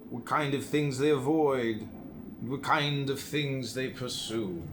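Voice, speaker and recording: A man with a mid-pitched voice reads philosophical prose aloud. His phrasing is deliberate and emphatic, set apart by pauses, in the manner of an audiobook narrator. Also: slight background noise. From United States. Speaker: audiobook reader.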